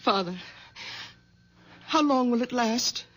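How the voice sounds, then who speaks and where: An actress playing an anxious, protective young wife who speaks in a worried, pleading way in a 1970s radio drama. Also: female voice, fairly high pitch, slow. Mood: stern.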